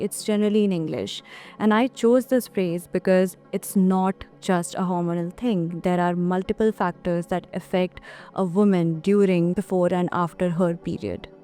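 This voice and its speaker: A woman's voice: on the low side, dark tone. A woman giving an earnest personal testimony in which she explains her reasons.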